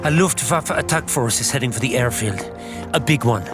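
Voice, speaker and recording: Wartime officer played by a man, medium pitch, grave urgent reports, audio drama with music underneath. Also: lively intonation, a little fast, lightly acted. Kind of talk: audio drama trailer acting. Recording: music behind voice.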